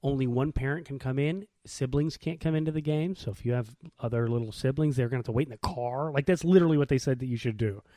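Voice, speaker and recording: An American man around his thirties or forties co-hosting a podcast, telling everyday stories in slangy, animated casual chat. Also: medium pitch, quick pace, hardly any pauses, dry recording. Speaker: podcast co-host.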